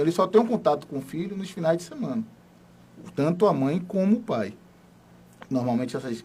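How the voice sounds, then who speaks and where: A man from Salvador, a police major giving a measured, explanatory radio interview. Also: medium pitch, warm tone, slight background noise. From Salvador, Bahia, Brazil. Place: radio studio.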